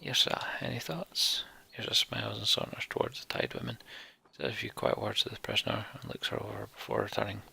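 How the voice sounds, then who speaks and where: A man's voice: on the low side, little pitch movement, fast talker, creaky, few pauses, pushed and bright, husky. A man narrating a fantasy game's story text aloud as a let's play host.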